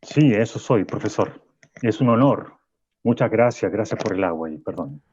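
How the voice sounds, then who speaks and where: An actor playing a weary, earnest professor interviewed in a radio drama, speaking haltingly and repeating words. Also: male voice, lowish voice, animated, darkish tone, breathy edge, dry recording, lo-fi sound. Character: weary, regretful male professor, earnest and troubled. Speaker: interview guest.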